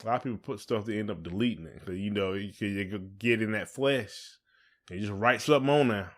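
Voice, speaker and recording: A man's voice: lowish voice, fairly quick. An American man chatting casually as a podcast co-host, opinionated and given to repeating his points. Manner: opinionated, repeats points, mimics others in acted quotes. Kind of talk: casual conversational chat between spouses.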